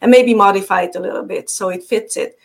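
A woman's voice: medium pitch, quick pace, gravelly. A woman from Transylvania with a Hungarian accent, a marketing guest chatting in an interview, with frequent fillers, restarts and easy laughter. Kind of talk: casual interview chat.

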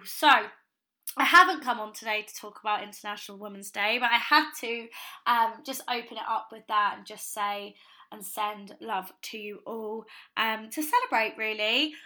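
Bright, upbeat young woman, slightly croaky. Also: on the high side. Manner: upbeat, gushing, with frequent ums and "you know". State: slightly croaky voice after a party. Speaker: podcast host and business coach. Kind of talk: casual solo podcast talk.

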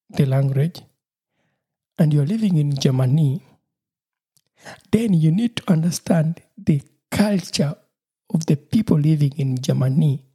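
A man with a Ugandan accent and Lusoga as his first language talks through his solo podcast. His voice is dark and slightly husky, and he keeps a cheerful, laughing tone while circling back over his points. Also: on the high side. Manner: cheerful, laughs often, repeats himself. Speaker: podcast host.

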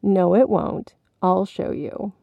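A woman voicing a gentle, concerned mother in a storybook, reassuring a child in a soothing manner. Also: mid-range voice, takes it slowly, vocal fry, no echo, dull audio.

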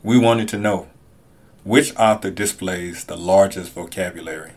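A man's voice: sits low, a little slow, darkish tone. A man, a scholar and podcast writer, giving scripted explanatory narration.

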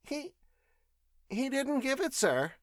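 A timid elderly maid who stammers before her master, voiced by a man in a high, put-on tone. She sounds flustered and eager to please. The sound is close and dry. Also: unhurried, dry recording. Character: elderly flustered female housekeeper.

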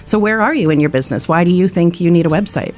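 Friendly woman with a low voice. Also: quick pace, a little mellow. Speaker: guest, small-business web designer. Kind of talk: radio interview answers.

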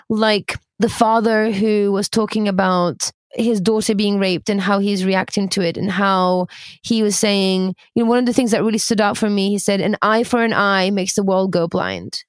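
A woman co-hosting an interview podcast, speaking in gentle, appreciative chat. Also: mid-range voice, dry recording. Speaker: podcast co-host.

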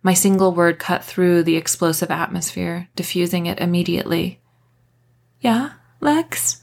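Low-pitched woman reading a novel aloud. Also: level tone, moderate pace, gravelly, a little mellow. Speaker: author reading own novel, podcast host. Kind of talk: scripted audiobook narration.

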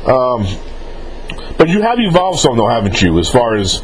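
A man's voice: medium pitch, very animated, moderate pace, a crowd in the background, low-quality recording. A man hosting a casual interview, informal and rambling, often rephrasing his questions as he goes. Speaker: interviewer relaying fan questions.

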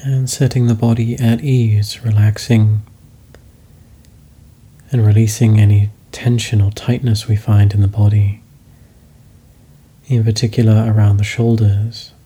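A man leading a guided meditation, speaking slowly and calmly in soft instructions. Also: on the low side, husky. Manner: slow, calm, soft-spoken instructions.

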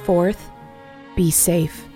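A woman hosting a podcast, reading a scripted announcement to listeners in a measured, earnest way. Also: fairly low pitch, fairly even intonation, warm tone, faint music behind. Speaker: podcast host.

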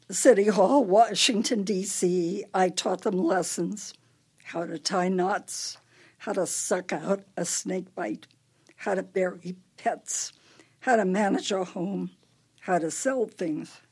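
A woman's voice: mid-range voice, takes it slowly, a little mellow, husky. A woman playing an imperious, brittle older wife with a failing memory in a radio play, her delivery theatrical and needling. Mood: wistful.

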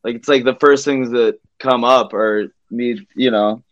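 A man telling a story as a guest comedian on a casual podcast, halting and repeating himself as he acts out exchanges. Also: medium pitch, fairly even intonation, lo-fi sound.

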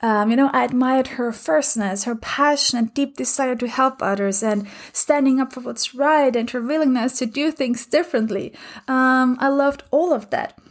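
Woman in her 30s, slightly high voice, hosts a solo podcast, thoughtful and filler-heavy. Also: normal speed. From Austria. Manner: reflective, many fillers. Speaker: podcast host.

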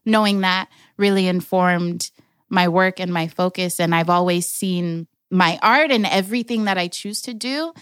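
A young adult woman from Los Angeles telling her family story in a slow, reflective way, with careful restarts. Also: lowish voice, a bit bright, clean tone, dry recording, studio quality. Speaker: storyteller, artist and organizer. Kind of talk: personal storytelling.

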